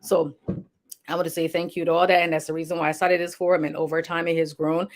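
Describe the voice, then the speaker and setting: A woman's voice: lowish voice, level tone, quick pace. A woman in her forties with a Liberian accent, a healthcare provider chatting casually to her page's followers, rambling and repeating herself. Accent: Liberian English. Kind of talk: casual anniversary livestream talk.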